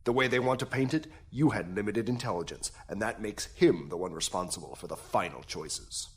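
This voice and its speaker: A man playing a smooth-talking crown prosecutor in an audio drama, wry, insinuating and theatrical. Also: on the low side, a little fast, close to an everyday voice. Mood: insinuating, cool.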